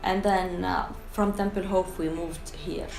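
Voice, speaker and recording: A woman's voice: on the low side, level tone, a little slow, creaky, darkish tone, echoey room, faint music behind. A Syrian woman with an Arabic accent talking candidly in a casual documentary interview, often hesitating as she searches for words in her second language. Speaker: documentary interviewee, freelance translator.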